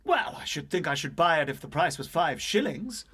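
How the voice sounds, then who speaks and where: A man's voice: fairly high pitch, very animated, normal speed, bright, forceful tone, slightly rough, voice pushed up, heavily acted. A man voicing a fussy, grumbling male museum curator in an audiobook ghost story, with a conversational, peevish academic manner.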